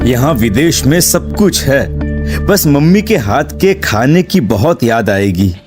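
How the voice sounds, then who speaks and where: A man playing an anxious yet curious young student in a dramatised cartoon story, with an expressive delivery. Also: medium pitch, slow pace, music in the background. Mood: reassuring. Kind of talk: dramatised Hindi cartoon horror story dialogue.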